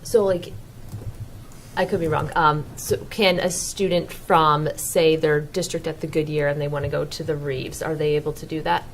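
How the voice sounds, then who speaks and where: Low, apologetic woman asking questions. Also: fairly even intonation, normal speed, slight background noise. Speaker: committee member.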